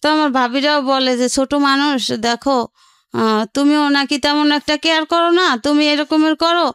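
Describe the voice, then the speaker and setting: A woman's voice: sits high, fairly even intonation, normal speed, a bit bright, no echo, clean studio sound. A woman with a Bangladeshi Bengali accent telling her own life story in a colloquial, rambling way, often quoting what relatives said. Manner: colloquial, rambling narration with quoted family speech. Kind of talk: personal storytelling in conversation.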